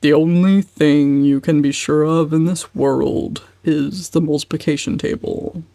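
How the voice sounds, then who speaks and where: A woman voicing a pompous, self-satisfied older uncle with a deliberate delivery in an audiobook. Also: deep voice, steady tone, warm tone, dry recording.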